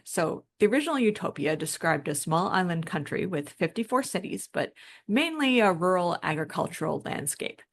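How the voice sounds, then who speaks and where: Lower-voiced, gravelly woman explaining things informally. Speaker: podcast co-host. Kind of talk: conversational explanation and discussion.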